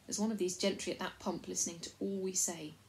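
A woman voicing a decisive young king in a storybook, brisk and authoritative. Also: on the low side, fairly even intonation, a little mellow, slight background noise, lightly acted, poor audio. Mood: cautious, planning.

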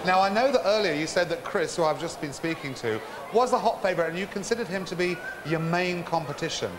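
A man's voice: sits high, fast, crowd noise. A British man hosting a game show, chatting with contestants in a teasing, upbeat way. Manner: teasing, chirpy, laughs often. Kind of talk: upbeat presenter chat with contestants. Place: large indoor shopping centre studio set. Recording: noisy crowded venue sound.

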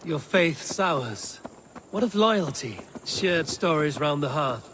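An actor playing a loyal, determined young Norse raider in a video game, speaking tersely and solemnly. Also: male voice, fairly high pitch, lively intonation, slow, noisy background. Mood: earnest. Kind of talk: dramatic video game acting.

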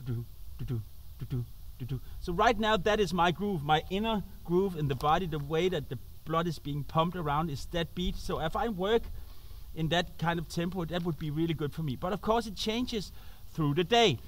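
A Danish man with a high voice addresses his viewers informally. His English is accented and halting, as he searches for words while presenting outdoors. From Denmark. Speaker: host and musician. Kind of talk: informal talk to camera. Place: outdoors on an open roadside.

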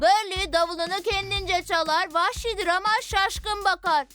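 A woman's voice: extremely high pitch, fairly even intonation, moderate pace, over music. A woman voicing a bold, eager little kid who takes charge in a children's cartoon, exuberant and showy.

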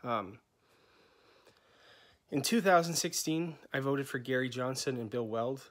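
A man's voice: mid-range voice, unhurried, a bit bright. Rambling man from New England. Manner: loose, rambling, many ums and restarts. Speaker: candidate for chapter coordinator. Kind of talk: unscripted campaign introduction. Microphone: phone held at arm's length.

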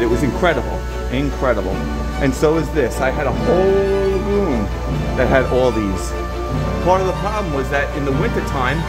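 A man's voice: a little high, low-quality recording. A man giving a casual, enthusiastic walkthrough of the water treatment room at his laundromat, filmed on a handheld phone. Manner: informal, enthusiastic, rambling explanations. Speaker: laundromat owner giving a tour. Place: indoor water treatment room with pumps and tanks. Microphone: handheld phone camera.

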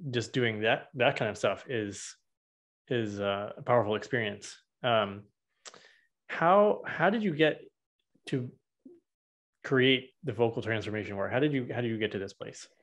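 A man in his thirties hosting an interview over a video call, relaxed and a bit halting, jumping in with questions. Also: medium pitch. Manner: relaxed, hesitant restarts, jumps in with questions. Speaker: podcast host. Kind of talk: casual interview chat. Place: home room. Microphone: video call with earbuds.

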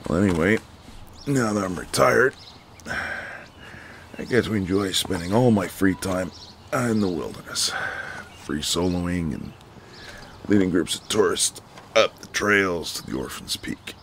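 Man acting a very deep, gravelly ex-marine neighbour. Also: expressive, a bit bright, a little hiss or hum, heavily acted. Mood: easygoing, modest. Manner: slow, casual, flirtatious drawl with pauses. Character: flirtatious, easygoing retired ex-marine neighbour man. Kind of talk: intimate romantic audio roleplay, ASMR.

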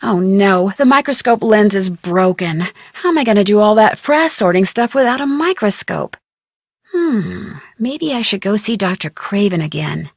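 A woman playing an inquisitive, polite and persistent young American amateur detective, speaking scripted adventure game dialogue in an earnest, expressive way. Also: mid-range voice, moderate pace, dry recording, studio quality. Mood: musing. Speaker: video game voice actress.

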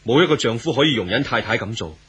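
An actor playing a frank, troubled lover in a 1970s radio drama, firm and reasoning, with a Hong Kong Cantonese accent. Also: male voice, medium pitch, animated, fast, a bit bright, breathy edge, dull audio. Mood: firm, reproachful. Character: frank, troubled lover confronting a married man.

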